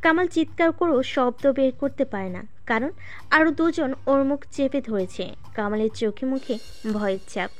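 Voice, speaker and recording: A woman narrating a scripted audio story in a steady, dramatic storytelling manner. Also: sits high, moderate pace.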